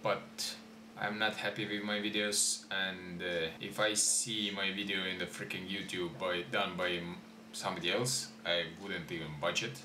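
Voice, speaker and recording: A man's voice: low-pitched, fairly even intonation, normal speed, reverberant, noisy background. A young man whose first language is Estonian, talking unscripted to camera at home, hesitant with many fillers and restarts. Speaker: YouTuber. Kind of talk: unscripted vlog talk to camera. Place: small room at home, indoors.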